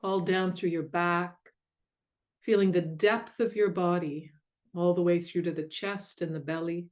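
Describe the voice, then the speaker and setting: Female meditation guide, lowish voice, very slow delivery, gentle and soothing with long pauses. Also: fairly even intonation. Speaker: meditation guide and mindfulness coach.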